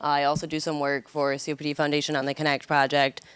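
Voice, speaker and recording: A woman's voice: deep voice, monotone, quick pace, clean tone, dry recording. A woman from Maryland, a caregiver and advocate, introducing herself in an easygoing way. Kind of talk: brief self-introduction.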